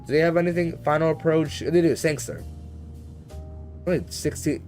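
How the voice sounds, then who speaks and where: A man's voice: fairly high pitch, steady tone, normal speed, a little mellow, faint music behind. A man chatting casually as he live-streams a flight simulator, in a rambling, slangy, conversational way. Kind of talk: casual live-stream chat while gaming.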